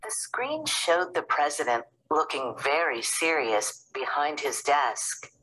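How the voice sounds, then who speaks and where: Woman storybook reader, low pitch, very animated, slow measured pace. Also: husky, poor audio. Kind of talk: expressive scripted children's read-aloud.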